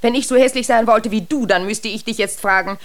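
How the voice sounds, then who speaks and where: An actress playing a composed, imperious middle-aged widow in a German radio drama, speaking in a genteel, pointed manner. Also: female voice, on the high side, a little fast, close to an everyday voice. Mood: reproachful, cutting. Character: composed, sharp-tongued middle-aged widow running the family shop.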